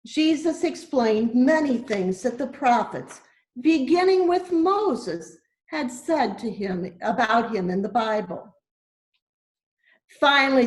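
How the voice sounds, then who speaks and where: A woman tells a children's Bible story at a very unhurried pace. Her voice sits fairly high, and she phrases things simply and deliberately for young listeners. The recording has a noticeably echoey room sound. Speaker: church storyteller.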